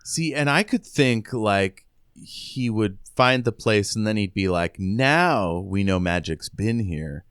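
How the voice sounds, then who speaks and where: Podcast co-host, man, deep voice, unhurried delivery, close dry sound, casual book talk. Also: animated, a bit bright, clear, resonant tone, no room sound. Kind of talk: casual book discussion with short read passages.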